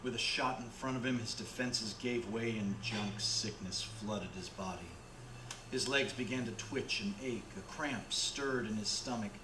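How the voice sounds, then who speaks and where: A man's voice: fairly low pitch, unhurried. A man narrating a radio play in a dramatic storytelling style. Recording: roomy with clear background noise.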